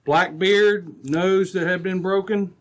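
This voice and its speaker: A man playing a calm, methodical police sergeant in an old-time radio drama, with a measured, questioning manner. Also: sits high. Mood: calm, probing.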